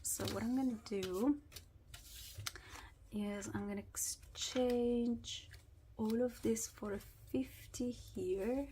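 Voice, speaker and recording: A woman's voice: a little high, fairly even intonation, takes it slowly, dark tone, slight background noise. A woman chatting casually as she sorts cash into savings challenges, her voice weak from a sore throat and sometimes dropping to a whisper. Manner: chatty, drops to whispers at times. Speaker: budgeting vlogger. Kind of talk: casual chat while doing cash envelope challenges.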